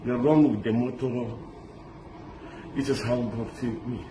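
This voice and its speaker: A middle-aged man from Lebanon telling his story in an animated, halting way, restarting often and mixing in pidgin words. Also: medium pitch, background noise. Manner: excited, halting, many restarts. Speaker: interviewee recounting a kidnapping. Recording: echoey with loud street ambience.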